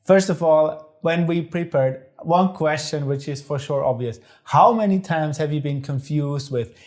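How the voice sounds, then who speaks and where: A man's voice: on the high side, a little slow, darkish tone. A man hosting an interview podcast, asking questions in a friendly, hesitant way with many fillers and restarts. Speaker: podcast host. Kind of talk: casual interview.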